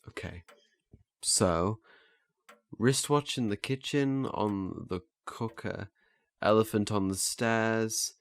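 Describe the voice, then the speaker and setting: A man's voice: mid-range voice, a bit bright, clean tone, no room sound. A man playing an earnest, flustered learner in a naturalistic audio drama, hesitant and stammering as he restarts his phrases. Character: earnest, flustered male learner eager to master memory techniques.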